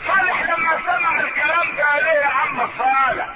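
High-pitched Egyptian preacher, tinny noisy recording. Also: male voice, steady tone, some chatter behind. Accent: Egyptian Arabic. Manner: rhetorical, alternating colloquial asides with drawn-out declamation. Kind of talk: sermon to a congregation with storytelling and recited verse. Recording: noisy, narrow phone-like sound.

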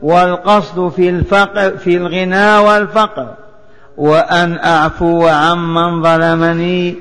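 Guy with a high voice chanting a religious lesson slowly, sing-song, echo trailing off in a big hall. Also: little pitch movement, fairly bright tone. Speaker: religious teacher. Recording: long hall reverb.

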